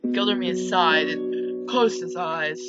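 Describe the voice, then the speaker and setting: A woman's voice: fairly low pitch, takes it slowly. A woman narrating a visual novel aloud, reading dramatically and breaking off into casual side comments. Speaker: video game streamer and narrator. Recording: dull lo-fi mic with music behind.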